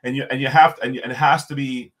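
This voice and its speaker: A man from Ontario with a loud voice, telling stories as a podcast guest in an animated, emphatic way. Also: mid-range voice, fast talker. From Canada, Ontario. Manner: animated storyteller, emphatic, frequent restarts. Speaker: podcast guest, former hockey player. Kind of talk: casual storytelling interview.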